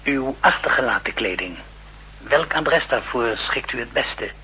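An actor playing a fussy, obsequious male shopkeeper in an old Dutch radio drama, hesitant, deferential and hushed. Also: mid-range voice, lively intonation, measured pace, full character voice, rough recording. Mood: servile, polite. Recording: 1960s radio recording with faint hum.

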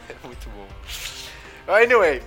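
Jokey Brazilian man with a bright voice. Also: on the high side. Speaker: podcast co-host. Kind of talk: casual, slangy banter.

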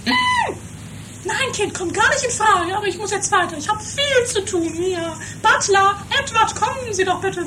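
Haughty rich mother, piercingly high voice, melodramatic and money-minded, for trash radio comedy with clear background noise. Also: expressive, normal speed. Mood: haughty, indignant. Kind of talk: improvised 1980s trash comedy radio play. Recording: noisy lo-fi 1980s amateur radio recording.

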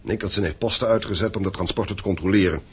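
A man's voice: sits low, fast talker, breathy edge. An actor in a radio play playing a stern, domineering middle-aged father who owns a mine, commanding and reproachful. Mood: stern, businesslike. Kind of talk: Dutch radio play acting.

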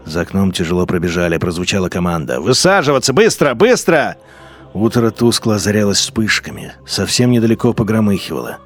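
A man narrating a radio drama in an intense, brooding literary style, with wide swings between low murmurs and sharp lifts. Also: very animated, brisk, soft background music.

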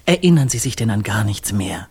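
A husky, fairly low-voiced poet of the Paris avant-garde in a radio drama. He speaks emphatically and in flowery, indignant flourishes, with a breathy edge. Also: sing-song, brisk, a little mellow, exaggerated voice. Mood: relishing, dramatic. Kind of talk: German radio drama acting.

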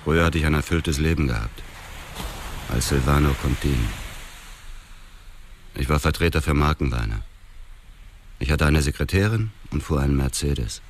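An actor playing an embittered, grieving middle-aged widower, narrating a radio drama in a terse, restrained way. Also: male voice, a bass voice, moderate pace, pauses often, a little hiss or hum. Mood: sombre, numb.

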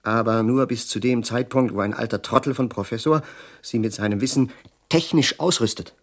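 An actor playing a bitter, sardonic middle-aged professor prophesying doom in a German radio drama, with a husky, declamatory delivery. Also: male voice, mid-range voice, moderate pace, close to an everyday voice. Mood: grim, ironic. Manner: declamatory, sardonic, lingering on words with sudden hushes.

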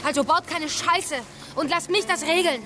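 A woman's voice: very high-pitched, moderate pace, over music. An actress playing a bossy, protective female aid worker in a radio drama, brusque and impatient in colloquial German. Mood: exasperated, urgent.

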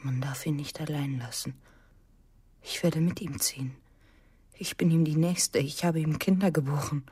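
Bitter, husky wronged farm wife. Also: female voice, low-pitched, fairly even intonation, normal speed. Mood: wounded, insistent. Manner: intense, dramatic, swinging between hushed and strident. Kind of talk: German radio drama acting.

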